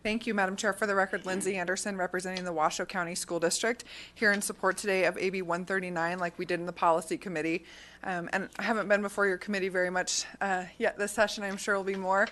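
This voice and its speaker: A woman's voice: mid-range voice, flat delivery, fast talker, a bit bright. A woman testifying for a school district at a legislative hearing, speaking in a friendly way with some fillers. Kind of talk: formal public testimony. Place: legislative committee hearing room.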